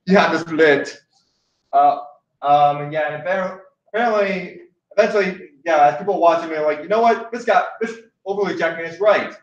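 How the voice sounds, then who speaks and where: A man's voice: a little high, normal speed, far from the mic. Young man, from Hawaii, host, rambling casual talk, echoey room, dull lo-fi sound. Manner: rambling, many restarts and fillers. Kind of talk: casual chat.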